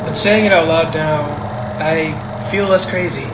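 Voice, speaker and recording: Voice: mid-range voice, fairly even intonation, a little slow, natural voice. An actor playing an uneasy, doubtful grown child in a naturalistic audio drama, talking in a hesitant, conversational way. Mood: grave, resolute. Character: uneasy, doubtful grown child who suspects dad of murder. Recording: roomy, echoey with clear background noise.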